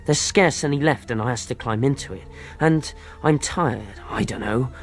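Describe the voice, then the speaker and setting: A man's voice: medium pitch, normal speed, soft background music, lightly acted. Actor as eager young ship's boy. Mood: earnest. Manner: youthful, plain-spoken storytelling. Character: eager, naive ship's boy narrating his adventure. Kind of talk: radio drama acting and narration.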